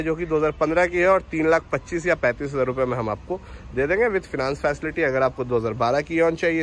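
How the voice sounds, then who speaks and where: A man narrating a promotional walk through used cars for sale, listing models and prices in a brisk, repetitive sales patter. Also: on the high side.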